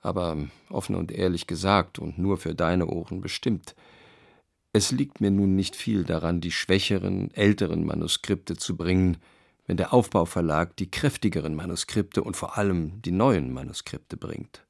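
A man's voice: sits low, moderate pace, vocal fry, slightly rough, heavily acted. An actor playing a cautious, confiding male publisher reading a letter in a radio drama.

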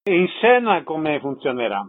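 A man's voice: fairly high pitch, very animated, moderate pace. A man hosting a radio interview, giving concise announcements and short questions. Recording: slightly dull lo-fi radio sound.

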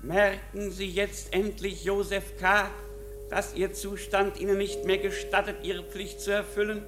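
An actor playing a cold, condescending, domineering lawyer in a German radio play, measured and pompous. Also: male voice, high-pitched, flat delivery, music in the background. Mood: menacing, condescending. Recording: clear background noise and hum.

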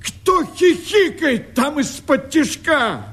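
An actor playing a betrayed, fierce and anguished Cossack rebel leader, declaiming verse in a radio drama with a husky, gravelly voice. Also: male voice, extremely high pitch, sing-song, a bit bright, exaggerated voice. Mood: anguished despair. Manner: drawn-out, impassioned declamation.

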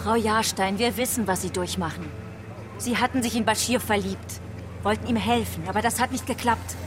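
A woman's voice: medium pitch, normal speed, background noise, close to an everyday voice. A woman playing a shrewd, firm police investigator in a German radio crime drama, direct and needling. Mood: pressing, needling.

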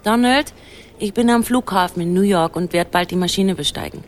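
A chatty young woman leaving phone messages in a radio play, played by an actress. Her voice sits a little low and sounds matter-of-fact. Faint music runs underneath. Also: a little fast, close to an everyday voice. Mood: chatty, hurried. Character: busy, chatty young woman in a long-distance romance.

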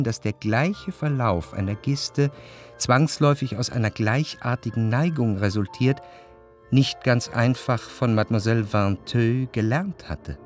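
A male actor plays a melancholy, introspective man narrating his memories for literary radio drama. His mid-range voice is measured and reflective. Soft music runs faintly behind him. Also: mid-range voice, lightly acted. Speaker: first-person narrator.

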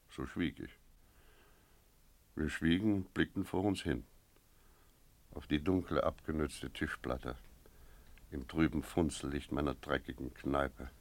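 An actor playing a brooding, daydreaming village innkeeper in a radio drama, narrating in pensive, literary language. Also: male voice, low-pitched, no room sound.

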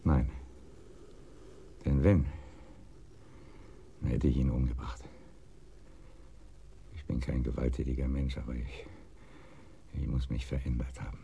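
Very deep, gravelly, broken husband. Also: male voice, little pitch movement, a little slow, pauses often, warm tone, a little hiss or hum, full character voice. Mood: brooding, resigned. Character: broken, bitter cuckolded husband, timid and brooding. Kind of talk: German radio crime drama acting.

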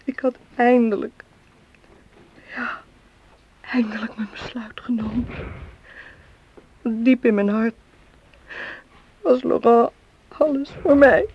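A woman's voice: fairly high pitch, fairly even intonation, slow pace, pauses often, breathy edge, highs cut off, exaggerated voice. An actress in a radio drama playing an anguished, grieving woman who wails, pleads and begs to die. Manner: wailing, pleading, swings from whisper to scream. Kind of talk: emotional radio drama acting. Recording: noisy old radio play recording.

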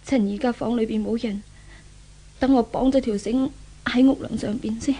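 A woman's voice: on the high side, fairly even intonation, moderate pace, dark tone, husky. An actress playing an anguished, suicidal young rich lady haunted by a ghost, in theatrical Hong Kong Cantonese 1970s radio drama style. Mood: grim resolve. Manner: theatrical soliloquy, exclaiming and lamenting. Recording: old 1970s radio drama with background music.

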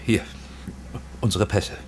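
A man's voice: takes it slowly, a little mellow, close to an everyday voice. An actor as a guarded, evasive middle-aged man. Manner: careful, evasive, clipped answers. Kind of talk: radio drama acting.

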